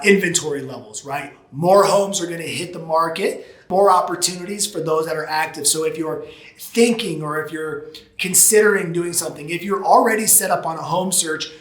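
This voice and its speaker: A hoarse man in his thirties, a real estate agent talking casually and energetically to the camera from an office. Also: on the high side, reverberant. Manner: energetic, gesturing with both hands. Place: office room with glass walls.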